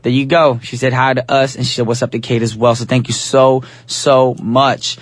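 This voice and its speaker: A young man with a husky voice, hosting a radio show in a casual, playful way from a studio mic. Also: mid-range voice, level tone, moderate pace, dry recording. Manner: easygoing, playful, says y'all.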